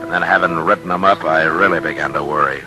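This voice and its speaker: An American actor playing a gruff, weary, decent Old West marshal in a 1950s radio drama, speaking in a terse, measured way. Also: male voice, rumbling low, steady tone. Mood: weary narration. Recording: vintage 1950s radio recording, music.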